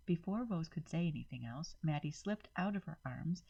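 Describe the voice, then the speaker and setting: A woman narrates a dramatic first-person story. Her voice is very low and distinctly husky. The recording is slightly dull and lo-fi. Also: expressive, moderate pace, mellow.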